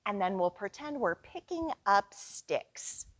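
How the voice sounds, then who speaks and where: Woman doing kids storytime, takes her time, really singsong, pitch goes up and down a lot. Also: big pitch swings, fairly bright tone. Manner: deliberate, singsong, instructional. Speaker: children's storytime librarian. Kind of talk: slow read-aloud storytime for young children, with sung refrains and action rhymes.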